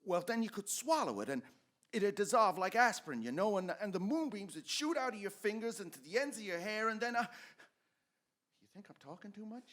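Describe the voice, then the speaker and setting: An actor playing an eager, dreamy small-town young man, halting and excitable, in old-time radio drama style. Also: sits high.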